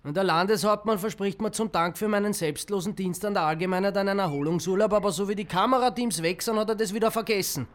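Actor as a high-voiced grumbling Austrian complainer. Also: male voice, fast, hardly any pauses, lightly acted. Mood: aggrieved. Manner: indignant, sarcastic. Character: grumbling, self-important Austrian man, petty complainer. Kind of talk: radio drama acting.

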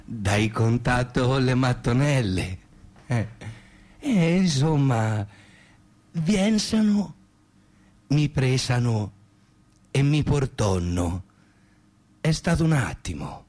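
A chatty Tuscan man in a radio play. He tells his story in thick local dialect, matter-of-fact and colloquial, circling back over the same phrases at a middling pitch. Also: animated, slow pace, pauses often, a little mellow. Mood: droll, matter-of-fact. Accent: Tuscan Italian. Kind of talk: rambling theatrical monologue in dialect.

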